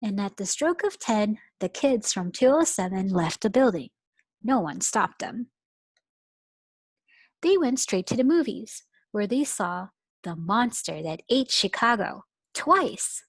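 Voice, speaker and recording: A woman teacher reading a picture book aloud to young children in an expressive storytelling way. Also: mid-range voice, measured pace, no echo. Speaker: pre-kindergarten teacher.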